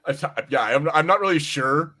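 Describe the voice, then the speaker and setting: Man, mildly high pitch, informal podcast co-host, halting delivery, loose sweary language. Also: pushed and bright. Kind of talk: casual pop-culture panel chat.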